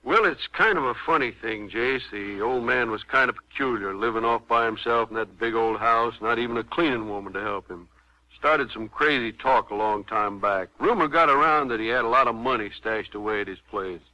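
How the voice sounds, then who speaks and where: An actor playing a folksy, plain-spoken county sheriff in a 1950s radio western, with drawn-out country phrasing. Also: male voice, mid-range voice, bright, forceful tone, dry recording. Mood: unhurried, grave. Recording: dull vintage 1950s radio recording.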